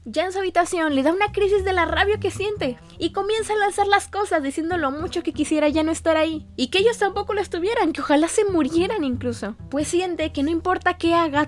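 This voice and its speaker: A woman's voice: very high voice, fairly quick, few pauses. A woman narrating a story recap and analysis as a video essayist. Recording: music under the voice.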